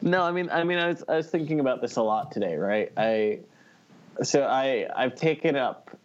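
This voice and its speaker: A man's voice: medium pitch, animated, moderate pace, dull audio. A man chatting casually as a podcast co-host, telling personal anecdotes in a wry, self-deprecating way.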